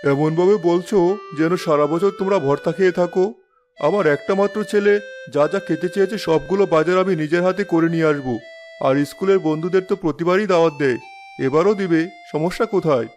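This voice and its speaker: A man's voice: on the high side, fairly quick, darkish tone, soft background music, close to an everyday voice. A man playing a proud, indulgent village chairman and father in a moral-story cartoon, with a self-important manner. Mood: boastful.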